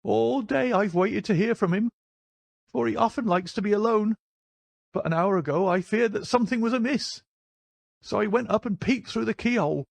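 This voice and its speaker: Storybook old woman housekeeper, played by a man: high voice, anxious, effusive, pleading. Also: moderate pace, creaky, no room sound. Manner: emotional, pleading, gushing. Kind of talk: audiobook character voice.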